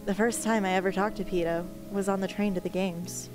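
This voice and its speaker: A woman's voice: mid-range voice, steady tone, brisk, close to an everyday voice. Woman acting an earnest young rebel girl, music behind. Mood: sombre, resolute. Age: young adult. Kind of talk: amateur radio play acting. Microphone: close studio microphone on stand.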